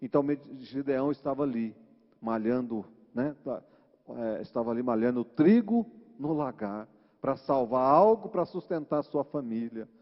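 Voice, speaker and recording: A man's voice: medium pitch, animated, normal speed. A man with a Brazilian Portuguese accent preaching an informal sermon, teaching in a conversational way with frequent tag questions. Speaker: church preacher. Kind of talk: informal sermon retelling Bible stories. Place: large reverberant church hall. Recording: muffled, echoing church hall recording.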